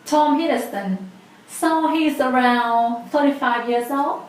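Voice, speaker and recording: A woman's voice: sits high, slow pace, heavy reverb, distant mic, poor audio. A woman in her twenties with a Vietnamese accent, patiently explaining a language lesson step by step. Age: 20s. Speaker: language teacher.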